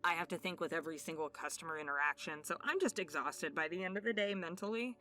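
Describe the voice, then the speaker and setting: A woman's voice: fairly low pitch, quick pace, bright, forceful tone. A woman host giving a casual, candid personal update to listeners in an informal, giggly way. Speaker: ASMR channel host. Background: music.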